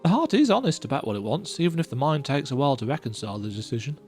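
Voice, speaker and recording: A woman voicing a weary, earnest young male imperial soldier who speaks in a reflective, softly resigned way. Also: rumbling low, very animated, very fast, faint music behind.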